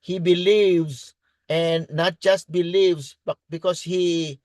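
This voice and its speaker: A Filipino man with a fairly high voice speaks as a preacher-presenter. He is a native Tagalog speaker who moves between English and Tagalog and restates his points with deliberate emphasis. The sound is very dry, with no room echo. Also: steady tone, darkish tone. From Philippines. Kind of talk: faith-based business presentation, preaching.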